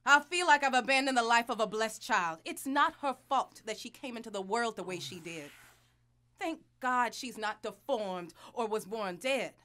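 Anguished wife, bright forceful voice. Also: female voice, a little high, animated. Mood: accusing, angry. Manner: intense, accusing, deliberate. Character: bitter, anguished wife and reluctant adoptive mother, devout. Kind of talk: dramatic audio drama acting. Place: small recording studio. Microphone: studio condenser mic.

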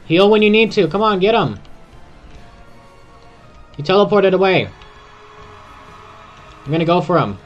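A man's voice: high-pitched, a little slow, pauses often, lo-fi sound, faint music behind. A young man giving casual gameplay commentary, cheering on a teammate. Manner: excited, urging on a teammate. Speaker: gamer.

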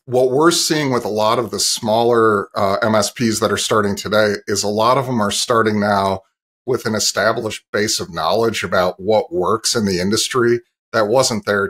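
A man's voice: on the low side, fairly bright tone. A man in his fifties giving explanatory answers as an interview guest, talking over a video call from a home office. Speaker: interview guest, industry analyst. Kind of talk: explanatory business interview talk.

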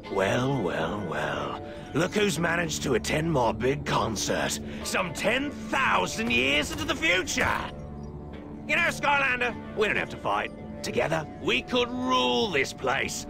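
An actor playing a manic, gloating werewolf showman villain with a gravelly, theatrical voice in a video game. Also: a little high, huge pitch swings, a little slow, full character voice. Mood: gloating, taunting. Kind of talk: video game cutscene acting. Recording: music behind the voice.

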